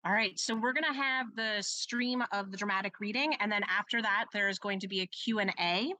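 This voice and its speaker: A woman's voice: mid-range voice, brisk, sharp tone, dry recording, low-quality recording. A woman hosting and introducing an online event, explaining what comes next. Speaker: event host, school librarian.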